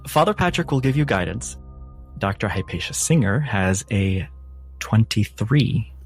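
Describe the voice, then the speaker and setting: Lowish-voiced man running a tabletop game. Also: expressive, slightly rough, soft background music. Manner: descriptive, measured storytelling. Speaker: game master.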